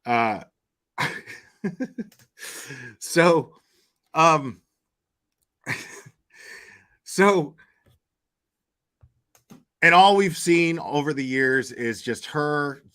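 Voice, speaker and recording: A man's voice: a little high, drawn-out words, long pauses, bright, forceful tone, clean tone. A man giving casual online opinion commentary in a rambling, blunt and crude way, swearing freely. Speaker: YouTube commentator.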